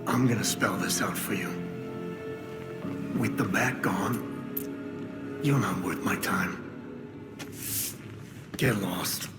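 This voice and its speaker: Low, echoey sardonic crime boss. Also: male voice, slow, pauses often. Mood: cold dismissal. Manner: sardonic, condescending. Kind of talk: video game cutscene acting. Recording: echoey, loud music behind.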